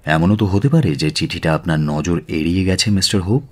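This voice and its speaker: A man playing a calm, self-assured gentleman detective in a radio drama, reasoning in a measured, persuasive way. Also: low-pitched. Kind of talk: Bengali radio drama acting.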